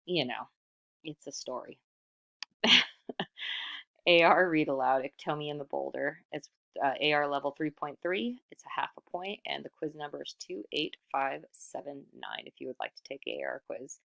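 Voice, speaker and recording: Low-pitched woman reading a story aloud. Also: normal speed, creaky. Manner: animated, asks the listener questions. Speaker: storybook reader. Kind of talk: expressive read-aloud narration.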